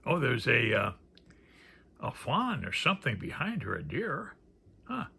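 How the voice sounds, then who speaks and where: A man's voice: medium pitch, wildly swooping pitch, unhurried, gravelly, bright, forceful tone, slightly rough. A man giving casual, unscripted commentary as he presents a card deck, musing aloud and trailing off into murmured asides. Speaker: presenter reviewing a tarot deck. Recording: faint background noise.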